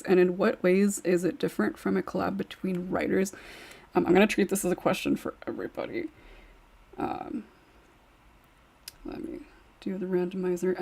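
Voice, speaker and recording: A woman moderating a casual podcast chat as host, speaking with a husky, gravelly voice. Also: on the low side, a little mellow.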